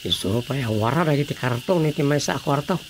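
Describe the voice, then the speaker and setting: A man's voice: mid-range voice, expressive, fairly quick, slight background noise, close to an everyday voice. A man with an Ilocano accent acting in a radio drama as a practical villager, explaining things conversationally. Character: practical man planning to sell household goods.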